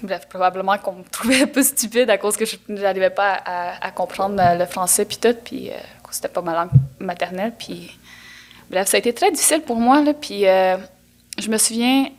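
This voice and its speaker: A young woman from Quebec whose first language is Atikamekw, telling stories as a podcast guest with a Quebec French accent and many hesitations. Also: medium pitch. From Atikamekw community of Manawan, Quebec. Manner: halting, with frequent hesitations and restarts. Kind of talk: casual conversational storytelling.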